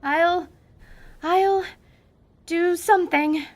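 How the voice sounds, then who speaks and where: A woman's voice: very high-pitched, steady tone, extremely slow, pitched above the performer's own voice, exaggerated voice. A woman voicing a timid, unsure young female adventurer in a fantasy radio drama. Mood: nervous, hesitant.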